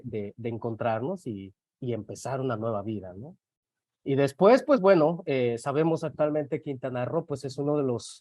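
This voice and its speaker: A man's voice: mid-range voice, a little mellow, no echo. A man in his forties with a Yucatec Maya accent, Maya as his first language, speaking hesitantly with many fillers as a panelist in an online talk. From Mexico. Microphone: video call.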